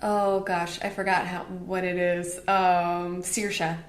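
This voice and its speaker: A woman's voice: on the low side, level tone, slow pace. American woman chatting in an echoey room. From Alaska, United States. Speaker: interviewer. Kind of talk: casual, chatty interview conversation. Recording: roomy, echoing interview sound.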